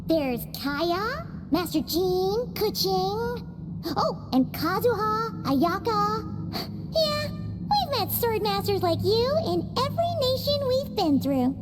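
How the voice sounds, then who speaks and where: A woman voicing a tiny, cheeky, childlike fairy companion in a video game, squeaky and perky. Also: very animated, slow pace, big-room sound, over music, exaggerated voice. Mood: perky, smug. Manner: perky, talks of herself in third person. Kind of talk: scripted video game cartoon acting.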